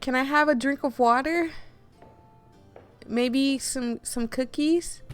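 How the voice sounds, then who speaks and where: A woman hosting a game playthrough with casual, expressive and playful commentary. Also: high voice, slow, soft background music. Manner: playful, chatty, expressive reactions. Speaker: host playing a game for viewers.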